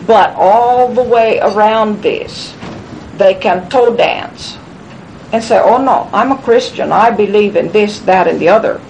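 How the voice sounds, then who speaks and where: Woman, rambling conspiratorial lecture, emphatic, repetitive restarts, faint rumbling noise. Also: a little slow, a little mellow, poor audio. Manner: emphatic, repeats phrases, frequent restarts. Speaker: speaker answering questions at a gathering. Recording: low rumble noise in background.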